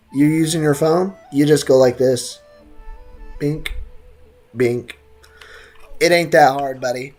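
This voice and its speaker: A man's voice: on the high side, warm tone. A young man giving casual live gameplay commentary as a streamer, slangy, joking and sarcastic, often repeating his phrases. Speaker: game streamer. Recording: game music behind. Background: video game music.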